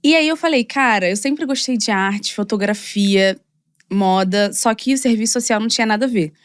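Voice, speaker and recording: A young woman from Rio de Janeiro with a Carioca accent, a podcast guest telling her story in a chatty, animated way full of fillers. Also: medium pitch, normal speed, no room sound, clean studio sound. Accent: Carioca Brazilian Portuguese. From Rio de Janeiro, Brazil. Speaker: guest, model and content creator. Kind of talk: casual podcast conversation.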